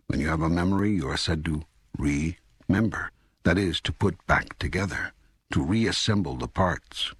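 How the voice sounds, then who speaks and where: An older man playing God as a calm, patient, authoritative teacher in an audiobook, speaking in a measured, deliberate way with a gravelly, husky voice. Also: low-pitched, no room sound, studio quality. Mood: calm, instructive. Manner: measured, deliberate, emphatic phrasing with pauses. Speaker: audiobook narrator. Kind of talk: scripted audiobook dialogue reading.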